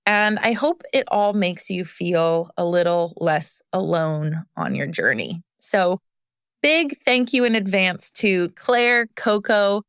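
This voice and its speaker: A woman's voice: fairly low pitch, expressive, takes it slowly, fairly bright tone, clear, resonant tone, dry recording, clean studio sound. A woman hosting a podcast as a recovery coach, talking in an earnest, encouraging way. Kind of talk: scripted podcast monologue.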